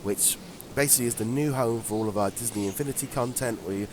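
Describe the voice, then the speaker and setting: A congested man with a head cold talks casually as co-host, chatty and laughing easily, with plenty of ums between thoughts. His voice sits at a middle pitch, with a faint hiss running under the recording. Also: quick pace. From England. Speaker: podcast co-host. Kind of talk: casual chat.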